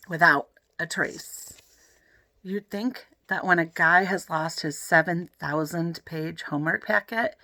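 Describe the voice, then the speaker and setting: A woman reading a children's book aloud in an expressive, animated way, with chatty asides to the listeners. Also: on the low side, dry recording. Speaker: read-aloud narrator.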